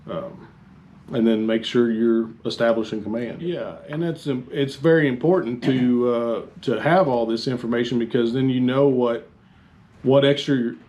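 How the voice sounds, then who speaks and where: A man giving an informal fire department training talk, phrasing things haltingly and repeating himself. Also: medium pitch, darkish tone, highs cut off. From Texas, United States.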